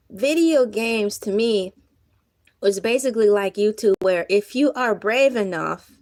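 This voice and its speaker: Woman, slightly hoarse, casual livestream chat, reflective, dry close sound. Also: mid-range voice, dry recording. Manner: chatty, earnest, reflective, laughs often. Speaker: livestream host.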